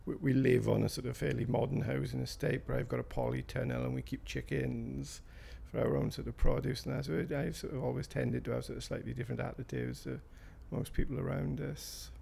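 A man's voice: mid-range voice, steady tone, brisk, creaky, few pauses, dark tone, slight background noise. A man in his fifties with a South Wales Valleys accent, answering interview questions in a hesitant, rambling way with fillers and restarts. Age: 50s. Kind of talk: recorded interview.

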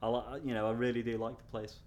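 A middle-aged man with a Scouse accent answers interview questions at a mid pitch. He rambles in a casual, chatty way, full of fillers and restarts. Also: flat delivery, fast, a little mellow, slight background noise. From North Wales.

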